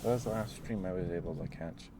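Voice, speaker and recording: A man's voice: low-pitched, fast, background noise. A man streaming ASMR, chatting casually and playfully to his live viewers in a rambling way.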